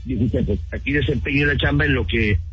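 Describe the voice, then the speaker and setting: A man's voice: medium pitch, brisk. A man reporting live and interviewing in a conversational way for radio news. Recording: dull lo-fi radio sound, faint music behind.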